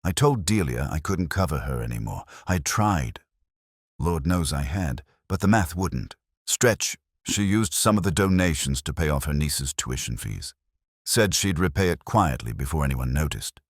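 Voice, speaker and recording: Guy doing a scared, ashamed village lady in a mystery story, soft and anxious, raspy edge. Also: very deep voice, expressive, normal speed, dry recording, clean studio sound, full character voice. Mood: reluctant. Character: ashamed, frightened village woman confessing quietly.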